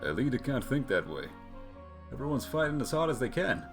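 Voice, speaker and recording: A man voicing a gruff, modest, loyal mercenary from a video game, plain-spoken, folksy and reassuring. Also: medium pitch, sing-song, brisk, over music, pitched above the performer's own voice. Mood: reassuring, earnest. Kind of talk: acting out video game dialogue.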